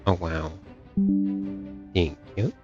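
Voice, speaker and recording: A man's voice: deep voice, very slow, over music. A man streaming a game, reacting live in a casual way. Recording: dull lo-fi mic.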